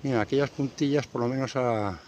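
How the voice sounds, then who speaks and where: A man's voice: medium pitch, a little fast, darkish tone. Chatty older man vlogging outdoors. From Spain. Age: 60s. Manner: chatty, talks to viewers while walking and working. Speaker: retired sailor vlogger. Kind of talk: casual vlog narration. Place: outdoors on a boat, beach and town. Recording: noisy outdoor camera audio.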